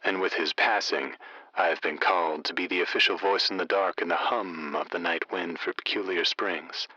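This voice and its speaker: An actor playing a laid-back, unflappable night-shift radio DJ with easygoing, poetic phrasing in an audio drama. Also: male voice, sits low, steady tone, normal speed, pushed and bright, no room sound, highs cut off. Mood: laid-back, teasing. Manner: chill, easygoing, florid phrasing.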